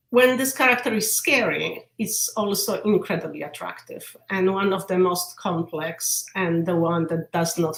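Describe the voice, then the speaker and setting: A woman with a Polish accent, a literature professor explaining her research over a video call in a thoughtful way with small hesitations. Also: lowish voice. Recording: roomy video call audio.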